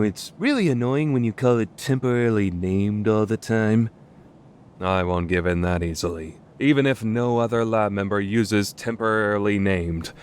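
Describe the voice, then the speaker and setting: Man playing a pompous, low-voiced young mad scientist. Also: a little hiss or hum, close to an everyday voice. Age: young adult. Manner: pompous, declamatory, easily indignant. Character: grandiose, theatrical young male self-styled mad scientist. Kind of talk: anime visual novel fan dub acting.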